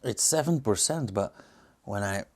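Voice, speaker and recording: A man with a Belgian accent giving casual, unscripted tasting commentary as a reviewer, pausing to muse and search for words. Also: lowish voice, lively intonation, a little mellow. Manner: hesitant, musing, searching for words. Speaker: beer reviewer and host.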